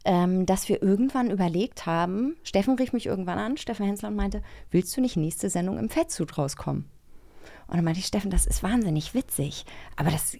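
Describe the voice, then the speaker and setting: A woman's voice: medium pitch, animated, fairly quick, creaky, mellow. Chatty woman with a mid-range voice. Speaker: podcast co-host. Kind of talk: casual conversational chat.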